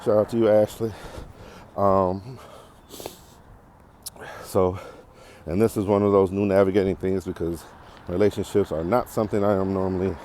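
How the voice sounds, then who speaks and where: Casual American male podcast host, very low mellow voice, thinks aloud and digresses, clear outdoor background noise. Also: little pitch movement, normal speed, long pauses. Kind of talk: casual unscripted monologue while walking. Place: outdoors, walking on a path.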